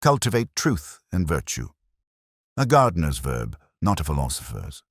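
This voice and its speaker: A man reading scripted first-person narration of a detective mystery in a measured, brooding, literary manner. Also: extremely low pitch, wildly swooping pitch, slightly rough, no room sound, clean studio sound. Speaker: audio drama narrator.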